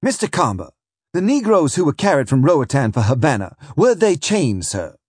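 A man voicing a hostile male lawyer who presses a witness with insistent, accusing questions, in a raised, husky voice. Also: fairly high pitch, huge pitch swings, moderate pace, darkish tone, dry recording, voice pushed up, heavily acted. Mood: pressing.

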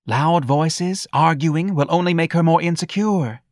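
A man's voice: on the high side, a little slow, a little mellow, no room sound, clean studio sound, pitched above the performer's own voice, natural voice. A man voicing a self-righteous, meddling wife who scolds and pleads theatrically, in an audiobook story. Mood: hushed scolding.

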